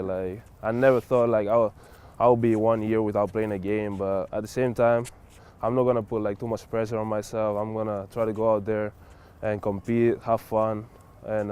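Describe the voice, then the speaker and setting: A young man from Spain with a low, mellow voice that stays smooth and clear. He answers in a flat, unhurried way and drops filler words as he talks. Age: young adult. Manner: relaxed, many fillers like "like" and "whatever". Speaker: college basketball player. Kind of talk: casual interview answers.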